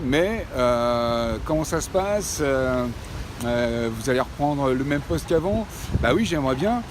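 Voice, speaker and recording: A French-speaking man in his fifties talking to camera in a casual vlog, pausing often with fillers and repeating himself. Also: fairly high pitch, background noise. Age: 50s. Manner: hesitant, many "euh" fillers, repeats himself. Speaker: vlogger.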